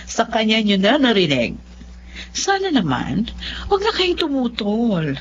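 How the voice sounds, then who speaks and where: A woman's voice: on the high side, huge pitch swings, unhurried. A woman playing a stubborn middle-aged Filipina mother in love, in a radio drama. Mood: stubborn, firm. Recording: muffled, noisy radio drama with hum.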